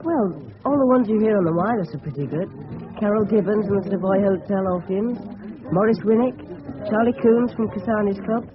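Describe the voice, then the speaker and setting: A man's voice: sits high, fairly quick, close to an everyday voice. A man playing an earnest, inquisitive English teenage boy. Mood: eager, earnest. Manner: polite, eager, inquisitive. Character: earnest, inquisitive polite English schoolboy in his teens. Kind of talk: radio drama acting with inner monologue. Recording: dull radio recording with music behind.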